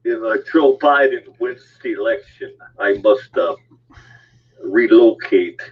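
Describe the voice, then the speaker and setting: A man co-hosting a casual live stream, joking deadpan and stammering through restarts. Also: mid-range voice, slow, breathy edge. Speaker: co-host. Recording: tinny phone-like line.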